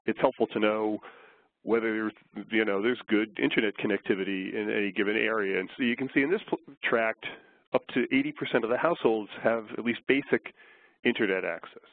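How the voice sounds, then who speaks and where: A man getting over a flu, slightly hoarse, giving a measured, explanatory webinar presentation with frequent fillers. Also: lowish voice, dry recording. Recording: tinny phone line.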